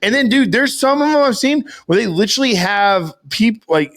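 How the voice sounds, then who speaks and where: A man's voice: sits high, expressive, normal speed, fairly bright tone. A man co-hosting a podcast in casual, joking conversation. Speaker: podcast co-host. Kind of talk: casual chat with comedic banter.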